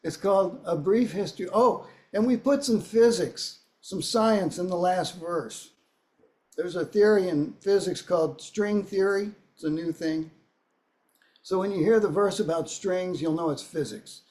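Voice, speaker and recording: A hoarse man with a cold, a singer-songwriter introducing his song with wry, dry humor. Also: high-pitched, a little slow, a little mellow. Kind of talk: relaxed spoken song introduction. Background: guitar playing.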